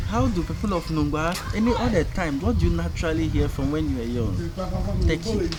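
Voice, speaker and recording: A man with a Ghanaian accent hosting a casual on-camera chat, energetic and loud as he quizzes his guest. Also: a little high, a little fast, over a noisy crowd. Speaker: show host.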